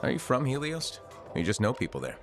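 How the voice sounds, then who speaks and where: An actor playing a friendly, helpful adventurer in a video game, talking in a casual, reassuring way. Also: male voice, mid-range voice, huge pitch swings, fast talker, music in the background. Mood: casual, wry. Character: steady, friendly male freelancer adventurer, helpful and gently probing. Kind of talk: video game dialogue acting.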